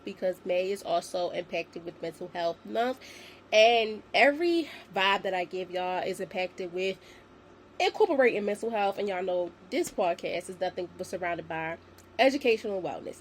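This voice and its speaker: Slightly raspy woman hosting a chatty podcast. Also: medium pitch, slight background noise. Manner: chatty and encouraging, addresses listeners as y'all. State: slightly raspy from a sinus infection. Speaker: podcast host. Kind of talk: casual solo podcast talk.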